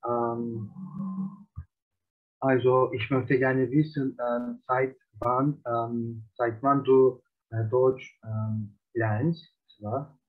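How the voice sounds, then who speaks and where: A man's voice: mid-range voice, little pitch movement, very slow. Hesitant Turkish man on a tinny call. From Turkey. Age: 20s. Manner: hesitant, many fillers. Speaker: language learner. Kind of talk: oral exam practice. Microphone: video call. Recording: tinny phone-like online call.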